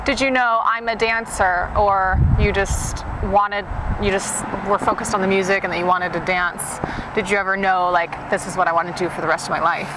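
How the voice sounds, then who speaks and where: A woman's voice: mid-range voice, steady tone, brisk. A woman interviewing a dancer, asking questions and encouraging him with short affirmations. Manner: encouraging, frequent short backchannels. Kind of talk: casual interview. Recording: outdoor recording with traffic noise.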